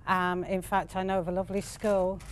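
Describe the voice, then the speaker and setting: A woman's voice: on the low side, level tone, brisk. A woman with a British accent, a school teacher making spontaneous remarks to the press, with faint noise behind her. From Britain. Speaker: school teacher being interviewed. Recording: news clip with faint background noise.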